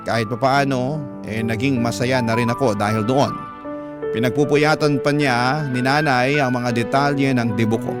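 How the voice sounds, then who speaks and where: Male Filipino radio storyteller; medium pitch, dramatic scripted first-person narration over a music bed. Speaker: radio storyteller reading a letter.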